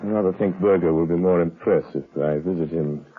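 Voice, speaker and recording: An actor playing a calm, shrewd gentleman sleuth who speaks in a measured, deliberate way, in 1930s radio style. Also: deep voice, breathy edge. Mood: measured, intrigued. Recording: tinny, muffled 1930s radio recording.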